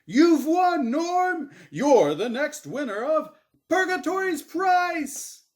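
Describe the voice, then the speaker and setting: A man voicing a gleeful, showy game show host from the afterlife in a story reading, with exclamatory, drawn-out announcer delivery. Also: extremely high pitch, sing-song, pitched above the performer's own voice, exaggerated voice. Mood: triumphant.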